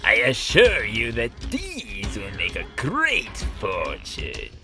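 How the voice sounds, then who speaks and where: A man playing a sly, greedy old villain with a pompous, measured manner in an audio drama. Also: lowish voice, huge pitch swings, over music, full character voice. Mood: gloating. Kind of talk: amateur audio drama acting.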